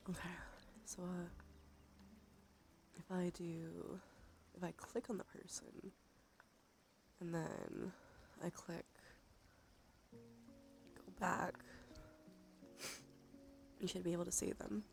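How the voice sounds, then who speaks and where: Woman, livestream host, casual ASMR chat, fry in the voice, breathy, half-whispered, faint background music. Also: lowish voice, level tone, slow pace, long pauses.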